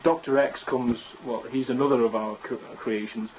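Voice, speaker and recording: A man's voice: mid-range voice, steady tone, normal speed, a little mellow, muffled recording, a little hiss or hum. A man, chatting casually as an interview guest. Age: 20s. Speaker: interview guest, amateur radio drama writer.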